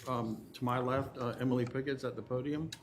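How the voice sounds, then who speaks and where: A man's voice: mid-range voice, steady tone, a little hiss or hum. A man, the planning director, introducing his staff at a public meeting with plenty of fillers. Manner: unhurried, hesitant with fillers. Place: county meeting chamber.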